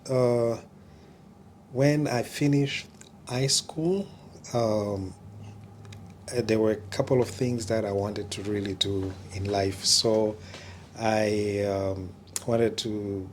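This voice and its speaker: A man from the Democratic Republic of Congo, a pastor answering interview questions in a hesitant way with many pauses. His is Kiluba. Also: lowish voice, a little mellow, slight background noise. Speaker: pastor, church regional staff.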